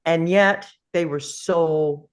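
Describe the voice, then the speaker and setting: Deep-ish slow talking lady, thoughtful, lots of hesitating, just chatting about a tv series. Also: steady tone. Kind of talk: casual group discussion of a TV series.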